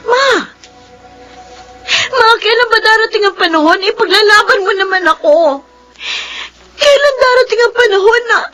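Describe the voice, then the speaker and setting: A woman's voice: very high-pitched, normal speed, long pauses, bright, forceful tone, faint music behind. A woman playing a defiant, anguished young daughter in a Filipino radio drama, emotional and pleading. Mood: tearful pleading. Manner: emotional, pleading, tearful outbursts. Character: defiant, anguished young daughter pushed toward law school.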